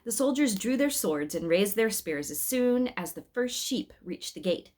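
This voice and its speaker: A woman's voice: medium pitch, lively intonation, normal speed, a little mellow. A woman reading a story aloud as a scripted narration. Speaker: reader of an Advent story.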